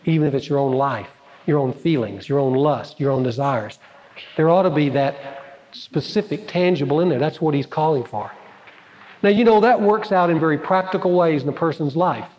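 Pastor, man, higher voice, dramatic sermon delivery, long church reverb. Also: normal speed, lo-fi sound. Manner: dramatic preaching, swings between loud emphasis and quiet. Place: church sanctuary. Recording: large hall with long reverb.

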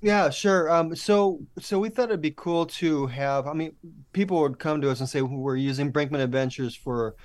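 A man chatting casually as an interview guest, telling stories in an animated, easygoing conversational way. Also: on the high side, moderate pace, dry recording, studio quality. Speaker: audio drama producer and podcast guest.

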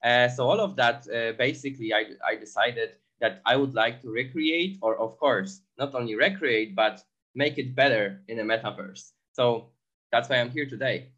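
A man's voice: medium pitch, moderate pace, fairly bright tone, dull audio. A man from Poland, speaking English as a second language, answering interview questions with many fillers and restarts. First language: Polish. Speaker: guest entrepreneur.

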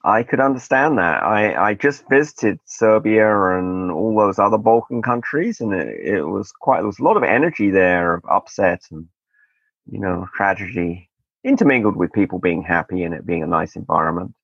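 Gravelly man hosting a podcast. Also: deep voice, lively intonation, normal speed, no room sound. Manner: chatty, digressive, wry asides. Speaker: podcast host. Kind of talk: casual interview chat. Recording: dull lo-fi dry sound.

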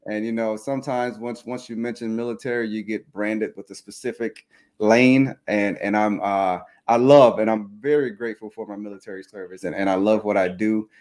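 A man talks as an interview guest, polite and earnest. His voice is a little low, and he often repeats a word as he gets going before settling into his point. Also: steady tone, normal speed, a little mellow. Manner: polite, earnest, repeats words when starting. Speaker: guest, leadership coach. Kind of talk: conversational interview.

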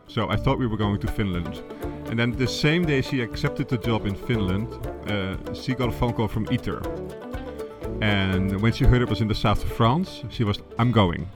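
A man from the Netherlands tells his story as an interview guest in a somewhat low voice. He talks casually and hesitantly, often restarting his sentences. Clear music runs behind him. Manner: hesitant, many fillers and restarts.

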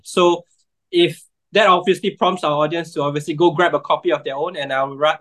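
A man's voice: on the high side, a bit bright. A man hosting a public book talk, closing the event in a friendly, slightly rambling way and thanking the guest and audience. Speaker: event host and moderator.

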